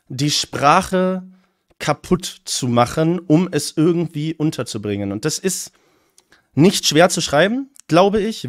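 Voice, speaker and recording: A German-speaking man reacts to a rap battle on stream, voicing strong opinions in quick bursts. His voice sits fairly high, and the sound is dry with no room echo. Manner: opinionated, talks in fast bursts. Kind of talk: casual commentary.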